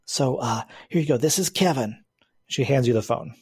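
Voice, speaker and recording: A man's voice: mid-range voice, moderate pace, darkish tone, husky. A man narrating as game master of a tabletop game, often restarting phrases and laughing.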